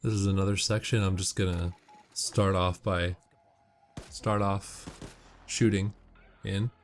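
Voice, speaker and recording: Low-voiced man giving casual game commentary. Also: flat delivery, slow. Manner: explanatory, matter-of-fact. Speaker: gameplay commentator giving tips. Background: video game audio with music and gunfire.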